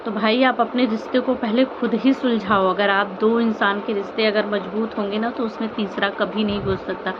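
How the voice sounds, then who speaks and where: A woman's voice: mid-range voice, steady tone, moderate pace, hardly any pauses, muffled recording, background noise. A woman vlogger giving informal, earnest opinion commentary, pleading with listeners and repeating phrases and rhetorical questions. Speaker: vlogger commenting on online family drama.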